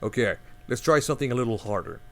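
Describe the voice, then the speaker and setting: A man's voice: medium pitch, fast talker, natural voice. A man playing a rambling conspiracy-nut host. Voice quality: rambling, excitable, flustered. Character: bumbling male conspiracy-theorist radio host. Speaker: radio host.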